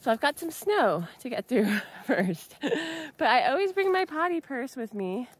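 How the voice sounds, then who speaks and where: A giggly, upbeat American woman talks in a casual vlog style. Her voice sits fairly high and swings expressively, and she takes her time between thoughts. She sounds like a relaxed thirties host. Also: unhurried, husky. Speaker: vlogger. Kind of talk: casual outdoor vlog chat. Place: log hut and snowy woods. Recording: noisy camera audio with ambient sounds.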